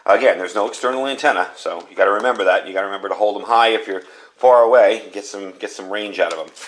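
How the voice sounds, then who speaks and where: A man's voice: fairly low pitch, fast talker, rough recording. An American man giving a casual product review in a plainspoken, conversational way, explaining things as he goes.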